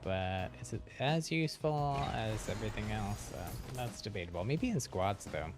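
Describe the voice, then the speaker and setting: A man's voice: lowish voice, expressive, moderate pace. A man giving casual live gameplay commentary as a streamer, thinking aloud in an easygoing way. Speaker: game streamer. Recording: game music behind the voice.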